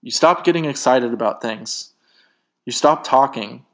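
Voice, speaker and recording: A man reads the part of a wounded girlfriend in a dramatic story. She presses and pleads, accusing, in his own mid-range pitch. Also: animated, fairly quick, lightly acted. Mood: hurt, pleading. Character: hurt, pressing young woman confronting her boyfriend.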